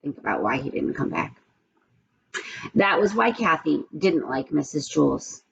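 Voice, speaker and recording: A woman's voice: low-pitched, sing-song, unhurried, gravelly, fairly bright tone, highs cut off. A woman reading a children's storybook aloud, expressively and with asides, speaking straight to young listeners.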